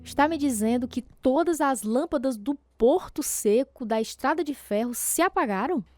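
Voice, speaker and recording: A woman acting as a caring, devout wife who gently questions her husband, affectionate and concerned, with a Brazilian accent in a religious radio drama. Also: high-pitched, animated, normal speed, faint music behind. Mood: tender, concerned.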